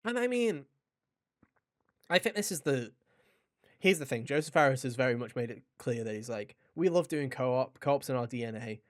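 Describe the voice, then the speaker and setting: A man's voice: medium pitch, expressive, moderate pace. A man hosting a gaming podcast, talking casually in an enthusiastic, rambling way with some swearing. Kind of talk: casual video game discussion.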